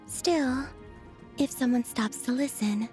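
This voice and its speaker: A serene young moon goddess from a video game. Her voice is high, soft and airy. She speaks gently and without hurry, dreamy and innocently curious, over faint music. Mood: serene, gentle. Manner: gentle, unhurried, dreamy, politely detached. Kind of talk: video game character voice lines.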